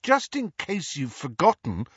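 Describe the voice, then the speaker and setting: A sardonic, defiant teenage boy voiced by a man, dry and cutting, rising to indignant retorts. The voice sits bright and slightly breathy, close and dry in a dull, lo-fi recording. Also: high voice, wildly swooping pitch, measured pace, no room sound, voice pushed up, full character voice. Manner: dry and sarcastic, flaring up indignantly.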